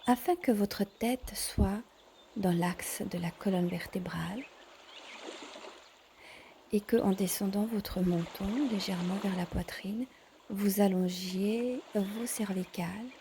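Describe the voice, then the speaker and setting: A woman teaching yoga in a calm guided instruction style, measured, pausing between short phrases. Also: medium pitch, a little hiss or hum.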